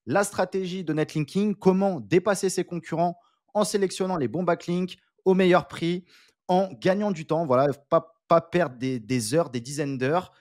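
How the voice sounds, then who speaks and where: A man hosting an online interview, upbeat and energetic as he presents and asks questions. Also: a little high, normal speed, dry recording, clean studio sound. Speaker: host.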